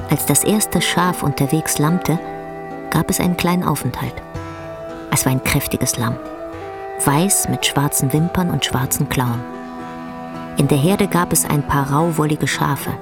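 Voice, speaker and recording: A woman narrating a literary radio play in a measured storytelling delivery. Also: deep voice. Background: faint music.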